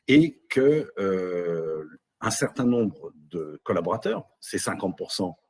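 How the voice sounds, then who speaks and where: Husky man presenting a webinar. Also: low voice, darkish tone. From Belgium. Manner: hesitant, many fillers and restarts. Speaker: AI trainer. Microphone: video call.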